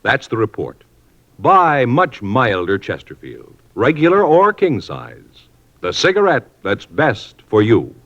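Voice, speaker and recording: Man, vintage ad announcer, lively pitch, dull lo-fi radio sound. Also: unhurried. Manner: earnest, persuasive. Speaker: commercial announcer. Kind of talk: 1950s radio ad read. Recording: dull old radio recording.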